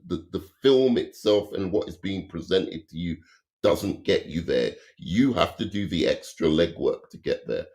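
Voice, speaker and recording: Very low-voiced man losing his voice. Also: darkish tone. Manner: halting, many restarts and fillers; analytical, explains at length. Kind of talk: casual movie review debate.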